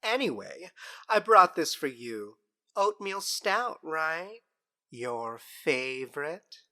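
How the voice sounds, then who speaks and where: Low-voiced, lively, mischievous fairy trickster. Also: female voice, slow pace, exaggerated voice. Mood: coaxing, sly. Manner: theatrical, teasing, savouring words. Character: mischievous fairy trickster, sly and smugly unrepentant. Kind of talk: modern audio drama acting.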